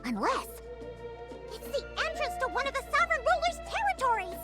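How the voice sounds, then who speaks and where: A woman voicing a tiny, excitable floating female companion creature in a video game. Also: extremely high pitch. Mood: curious. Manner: excitable, curious, quick exclamations. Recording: game audio with music behind. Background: game music.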